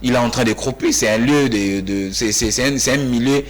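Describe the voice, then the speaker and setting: A man's voice: medium pitch, fairly quick. Fiery man debating. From Kinshasa, DR Congo. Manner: insistent, interrupts, repeats himself emphatically, rising to near-shouts. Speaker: TV debate panelist. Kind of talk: heated studio debate.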